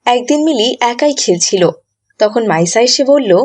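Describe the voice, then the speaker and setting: A woman's voice: a little high, lively intonation, measured pace, darkish tone. A woman giving scripted storybook narration for a cartoon.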